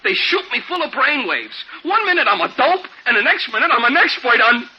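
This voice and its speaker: Eager, anxious everyman: very high-pitched, stammering, plain-spoken, on dull old radio. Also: brisk, husky, heavily acted. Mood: elated. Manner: excitable, stammering, plain unschooled talk. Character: simple, anxious, eager working man in love. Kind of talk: 1950s radio drama acting. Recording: dull vintage radio recording.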